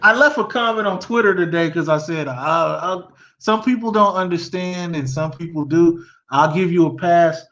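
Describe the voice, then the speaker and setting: A middle-aged man hosting a casual sports talk, his voice sitting high for a man. He tells long stories in an animated, storytelling way and quizzes his guest. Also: normal speed, hardly any pauses, highs cut off, a little hiss or hum. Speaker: podcast host.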